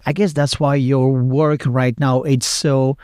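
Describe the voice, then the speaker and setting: Man from france, podcast host, mid pitch, dry close sound, echoes the guest. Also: flat delivery, a little slow, a little mellow, clear, resonant tone. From south of France. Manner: eager, agreeing, repeats the guest's words. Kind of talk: casual interview chat.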